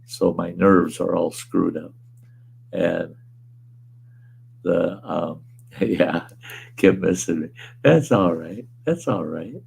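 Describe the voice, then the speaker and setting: Hoarse man, slow and very gravelly, laid-back rambling streamer host who chuckles and swears casually. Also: low-pitched, a little mellow. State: hoarse, weakened voice. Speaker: livestream host. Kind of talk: casual livestream chat reading viewer comments. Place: at home.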